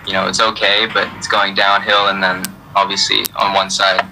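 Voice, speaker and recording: A young man speaks over a video call from an indoor room. His voice sits low yet sounds very bright. He talks in a relaxed, halting way, full of fillers. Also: a little hiss or hum, poor audio. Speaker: guest musician. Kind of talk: casual interview answers.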